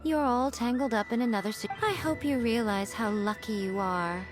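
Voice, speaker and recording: A woman's voice: a little high, normal speed, close to an everyday voice. A woman playing a sly, self-assured shrine fox woman in a video game, with an arch, teasing, condescending manner. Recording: game music behind voice.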